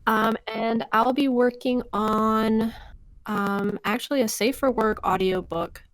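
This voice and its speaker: A woman's voice: fairly high pitch, steady tone, slow pace. A woman chatting casually as an interview guest, giggly and self-deprecating. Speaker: voice actress, podcast guest.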